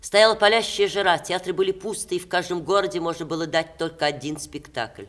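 An actress playing a proud, emotional ageing stage star in 1960s Russian radio theatre style, with expressive, measured diction. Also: female voice, fairly low pitch, close to an everyday voice. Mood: lively narrating.

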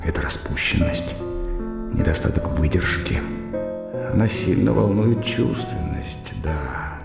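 An actor playing an earnest, self-assured educated gentleman with a reflective, precise way of speaking in a classic radio drama. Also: deep voice, lively intonation, takes it slowly, gravelly, exaggerated voice. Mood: brooding, resolute. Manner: reflective, precise, bookish phrasing. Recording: reverberant hall, music behind.

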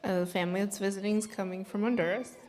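A woman's voice: medium pitch, fairly even intonation, a little fast, darkish tone. A woman interpreting a sermon into English, relaying the pastor's words steadily. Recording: hall reverb.

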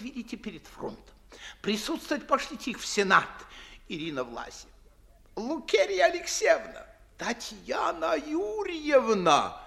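An actor playing a pompous, garrulous Moscow gentleman in middle age, declaiming fussily in the theatrical manner of 1950s stage comedy. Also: sits high, creaky, full character voice. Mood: amused, mocking. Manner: theatrical, declamatory, fussy, swooping emphasis. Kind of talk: 1950s Russian classical stage comedy acting.

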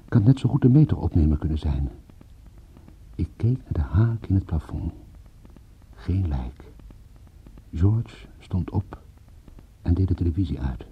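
An actor narrating a radio play as a world-weary, self-mocking private detective, husky and gravelly, wry and deliberate. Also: male voice, sits low, lively intonation, slight background noise. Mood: tense, wry. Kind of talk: hard-boiled first-person radio drama narration. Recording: tinny narrow-band radio play recording.

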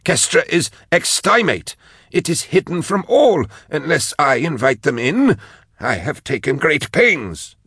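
A man's voice: a little high, very animated, unhurried, a bit bright, husky, no echo, clean studio sound, voice pushed up, exaggerated voice. A man voicing a weary, vengeful male sorcerer locked in a cage, intense and lamenting in an audiobook. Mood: alarmed. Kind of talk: audiobook character voice.

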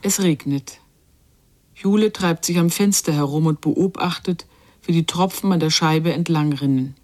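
A woman's voice: low-pitched, a little slow, darkish tone. An actress playing a sober, probing narrator who acts as a girl's inner voice in a radio play, speaking tersely. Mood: sober observation.

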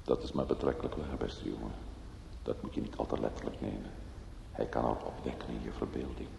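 An actor playing a grave, consoling older man in a Dutch radio drama, with a measured, paternal, philosophical manner. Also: a bass voice, vocal fry, warm tone, exaggerated voice. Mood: grave, resigned. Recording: slightly noisy old radio recording.

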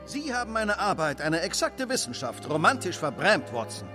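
A man's voice: sits high, big pitch swings, normal speed, over music. An actor playing a brilliant, haughty male detective in a radio play, speaking in a precise, superior manner. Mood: scornful.

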